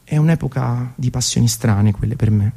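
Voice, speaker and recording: A man's voice: medium pitch, normal speed, creaky, warm tone, breathy edge. A man, reading a scripted music documentary monologue in a theatrical, storytelling way with colloquial asides. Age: 30s. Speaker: radio presenter. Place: radio broadcast.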